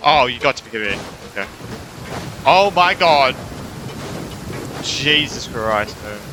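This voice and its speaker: A man's voice: medium pitch, expressive, unhurried. A man giving casual let's play commentary as a gaming streamer, with muttered reactions and sweary, exasperated asides. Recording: game music behind the voice.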